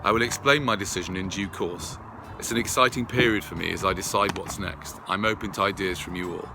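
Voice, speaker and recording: A man earnestly reading out a prepared personal update to his followers in a deliberate way. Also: low voice, creaky, over music. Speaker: video creator addressing followers.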